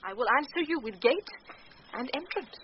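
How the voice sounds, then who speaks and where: A woman's voice: high-pitched, expressive, normal speed. A British woman playing a witty, courteous heroine disguised as a young male page, speaking formal Shakespearean verse in a radio drama. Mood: courtly, witty. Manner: formal, poised verse delivery. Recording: muffled 1990s radio broadcast, clear noise.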